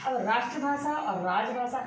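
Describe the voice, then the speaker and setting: A woman's voice: a little high, normal speed. A woman teaching a grammar lesson, asking questions and answering them herself, repeating the key points. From India. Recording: echoey room, far from the mic, steady noise.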